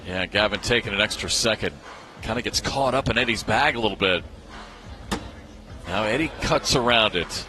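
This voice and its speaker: A man giving live televised play-by-play commentary on a championship match, in an excitable manner that builds with the action. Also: fairly low pitch, moderate pace, long pauses, slight background noise.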